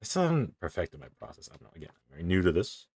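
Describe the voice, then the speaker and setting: A young man with a very deep, low voice talks through his drawing in loose, rambling chatter, cursing offhandedly and poking fun at himself. The sound is dry and close, with no room around it. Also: big pitch swings, racing, darkish tone, husky, dry recording. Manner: rambling, self-deprecating, swears casually. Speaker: streamer. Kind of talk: casual commentary while drawing.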